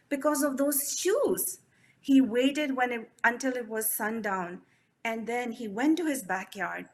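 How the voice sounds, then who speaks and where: A woman storyteller whose first language is Urdu, telling children's folk tales with lively expression. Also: a little high. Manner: animated, expressive, addresses the children. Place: library event.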